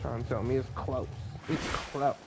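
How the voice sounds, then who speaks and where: A man streams a game in an informal, mid-pitched voice. He reacts in short, casual asides while game music plays clearly behind him. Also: steady tone, moderate pace. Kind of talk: casual gameplay commentary.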